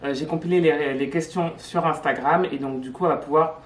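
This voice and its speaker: A woman's voice: sits low, steady tone, brisk, slight background noise. A French woman hosting a casual interview, chatty and upbeat with hesitant fillers. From France. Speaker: YouTuber host. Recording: echoey room, voice from far, music behind.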